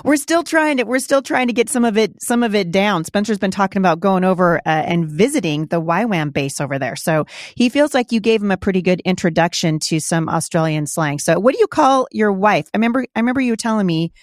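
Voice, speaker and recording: A woman's voice: mid-range voice, lively intonation, a little fast, hardly any pauses, no room sound, clean studio sound. A middle-aged woman hosting her podcast, upbeat, chatty and encouraging toward her listeners. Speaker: podcast host.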